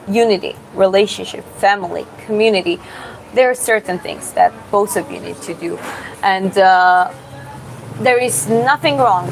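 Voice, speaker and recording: Georgian-accented young woman, halting chat. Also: medium pitch, a little hiss or hum, low-quality recording. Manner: hesitant, restarts words, thinks aloud. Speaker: creator answering viewer questions. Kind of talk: casual Q&A. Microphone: phone or camera close up.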